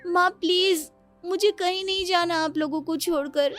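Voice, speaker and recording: Woman voicing a high-pitched, devoted young daughter. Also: steady tone, normal speed, music in the background. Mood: pleading. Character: devoted young daughter or daughter-in-law, sweet and dutiful. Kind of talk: cartoon moral-story acting.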